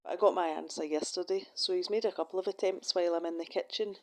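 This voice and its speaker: Lowish-voiced Scottish woman, swearing freely. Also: fairly even intonation, fast. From Scotland. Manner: profane, wry, talks in restarts and asides. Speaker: vlogger. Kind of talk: casual monologue to camera. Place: her room in a shared house.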